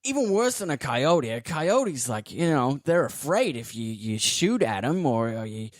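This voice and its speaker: A man playing a young street kid scavenger in a tabletop game, halting, slangy and foul-mouthed. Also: medium pitch, big pitch swings, dry recording, studio quality. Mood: spooked. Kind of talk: improvised tabletop roleplay acting.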